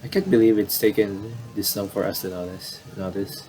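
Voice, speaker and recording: A man's voice: on the low side, steady tone, moderate pace, over music. A man giving casual let's play commentary and reading game text aloud, stumbling and restarting as he reads. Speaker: gaming commentator.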